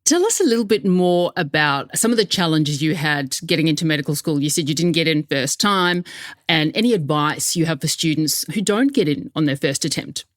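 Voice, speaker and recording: A woman's voice: deep voice, animated, brisk. A woman conducting a conversational interview.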